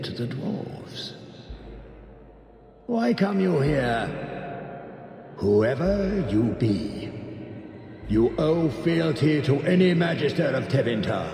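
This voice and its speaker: Ancient darkspawn lord, proud and bewildered, video game villain; dark gravelly tone, sweeping archaic grandeur. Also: male voice, medium pitch, full character voice. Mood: imperious. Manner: archaic, grandiose, halting speech. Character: ancient corrupted darkspawn magister, proud, imperious, bewildered zealot. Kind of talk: dramatic video game acting. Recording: echoing hall with long reverb.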